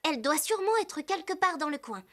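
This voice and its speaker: A chatty teenage girl who loves horses in a cartoon. She speaks very high and animated, eager to share every thought. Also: quick pace. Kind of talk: cartoon dub voice acting.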